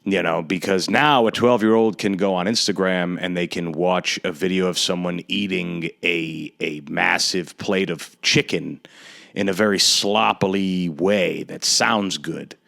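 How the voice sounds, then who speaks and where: A young man in his twenties with a very low voice hosts his podcast alone. He rants in a sardonic tone and stretches his words out for emphasis. The recording is dry and close, with no room sound. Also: fairly even intonation, creaky, a bit bright, no echo. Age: 20s. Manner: sardonic, ranting, drawn-out emphatic delivery. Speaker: podcast host. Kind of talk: solo podcast rant.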